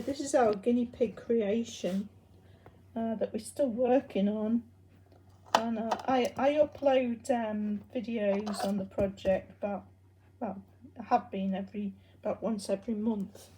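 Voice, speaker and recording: A woman presenting a hobby project in an informal, unscripted demonstration, explaining things in a hesitant, halting way. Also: on the high side, dark tone, rough recording.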